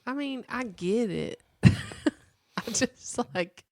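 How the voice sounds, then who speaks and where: A woman co-hosting a casual podcast, teasing her partner with quick, dismissive quips. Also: on the high side, animated, vocal fry, dry recording, studio quality. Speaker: podcast co-host. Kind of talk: casual chat.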